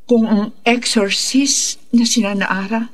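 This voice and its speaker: An actor portrays a reluctant faith healer in a radio horror play. The voice sits at a middle pitch, measured and grave, carrying worry and caution. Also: steady tone, lightly acted. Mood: worried, reluctant. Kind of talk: radio drama acting.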